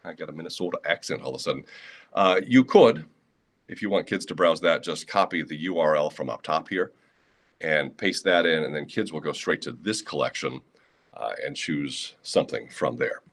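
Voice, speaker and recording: A Midwestern American man with a low, deep voice runs a live training session for teachers over a computer mic. He talks casually and practically, stepping through each resource with plenty of "uh" fillers and the odd dry aside. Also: normal speed, husky. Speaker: school librarian presenting to teachers. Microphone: computer mic on a live video session.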